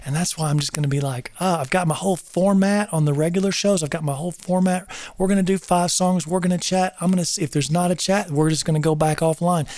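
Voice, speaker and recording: A man's voice: sits high, fairly even intonation, quick pace, few pauses, darkish tone, no echo, clean studio sound. A middle-aged man from North Georgia, talking casually and earnestly to viewers of his live show, rambling and repeating himself with preacherly asides. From North Georgia, US South. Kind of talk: casual livestream talk to viewers.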